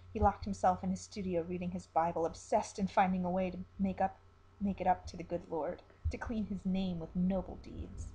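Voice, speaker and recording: A woman with a husky, slightly rough voice narrates a grim story. She delivers it as a dramatic storyteller reading from a script. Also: on the low side, mellow, rough recording.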